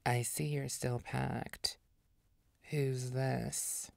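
A woman voicing an imperious vampire boss in an audio roleplay, commanding, condescending and deliberate. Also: rumbling low, little pitch movement, vocal fry, background noise, exaggerated voice. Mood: brusque, appraising.

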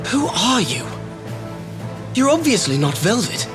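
An actor voicing an earnest young prince cursed into a small rabbit-eared creature, with formal, old-fashioned speech, in a game cutscene. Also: male voice, high voice, huge pitch swings, a little slow, heavily acted. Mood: demanding. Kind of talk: video game cutscene dubbing. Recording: game audio with music behind.

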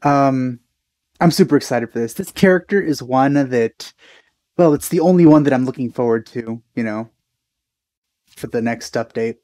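A man's voice: mid-range voice, moderate pace, darkish tone, dry recording. A man in his thirties giving casual, enthusiastic reaction commentary as a video host, close on the mic. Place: small indoor room.

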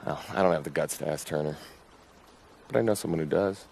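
Wry, cynical army private. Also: male voice, a bass voice, brisk, vocal fry, darkish tone, slight background noise. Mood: wry doubt. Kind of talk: WWII video game cutscene acting.

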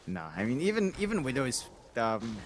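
A man's voice: mid-range voice, very animated, normal speed. A man giving analytical commentary on a video game match, explaining builds and lanes with playful asides. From Philippines. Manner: explanatory, playful, self-deprecating jokes. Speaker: esports color commentator.

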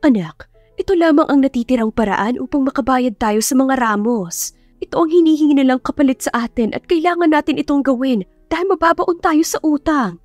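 A woman's voice: high-pitched, brisk, darkish tone, no echo, faint music behind, voice pushed up, close to an everyday voice. A woman voicing a stern middle-aged mother in an audiobook drama, firm, reproachful and pressing as she persuades. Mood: gently persuading. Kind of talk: audiobook character acting.

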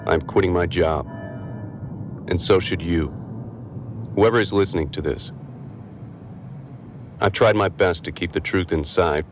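An actor playing a disillusioned, haunted space-dock worker in a reflective, halting audio drama monologue. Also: male voice, sits low, a little hiss or hum. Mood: grim resolve. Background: music behind the voice.

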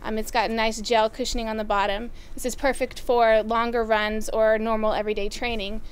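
A woman's voice: a little high, flat delivery, fairly quick, clean tone, rough recording. Upbeat young woman presenting running gear. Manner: upbeat, with frequent "um" fillers. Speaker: store product presenter. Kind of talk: casual product rundown. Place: outdoors by farm fields.

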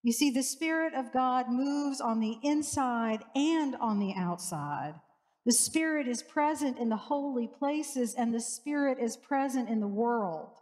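A woman in her forties, a pastor, preaching a sermon in a slow, measured way with rhythmic repetition, on a headset mic in a church sanctuary. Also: a little high, darkish tone. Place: church sanctuary with large lake-view windows. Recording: reverberant church hall.

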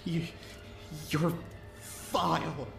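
A man voicing a gentle, heartbroken young stallion in a dramatic animated comic, weak and halting, often near a whisper. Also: fairly high pitch, creaky, exaggerated voice. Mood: horrified. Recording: echoey, music over voice.

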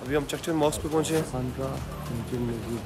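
A man's voice: medium pitch, brisk. A man with a Pakistani accent gives casual travel vlog commentary on location, speaking into a handheld camera. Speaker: travel vlogger. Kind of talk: casual vlog commentary while showing sights. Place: on location while touring, mostly outdoors. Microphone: handheld camera or phone. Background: background music.

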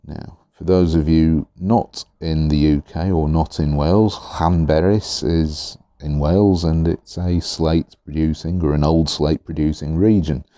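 A man reviewing fountain pens in an easygoing, chatty way, a little hoarse, with fillers and repeated words. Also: extremely low pitch, steady tone, measured pace, hardly any pauses, mellow, no echo, clean studio sound. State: slightly hoarse. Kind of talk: relaxed product review. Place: indoors at a desk. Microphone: overhead camera on the hands, speaker not in frame.

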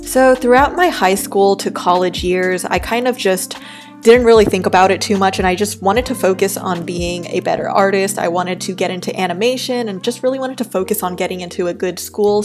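A young woman from New York, whose was Cantonese, talks in a soft and reflective way. Her voice sits at a mid pitch, recorded close as a voice-over, with clear music playing behind her. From New York, USA. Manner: soft, thoughtful, candid. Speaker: art YouTuber. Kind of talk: reflective personal voice-over while drawing.

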